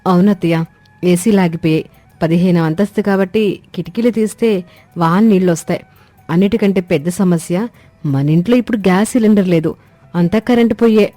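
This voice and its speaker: A woman's voice: fairly low pitch, a little fast, mellow, dry recording, faint music behind, natural voice. A woman voicing a polite, gadget-proud young city daughter-in-law in a cartoon story, mixing English words into her Telugu. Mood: worried.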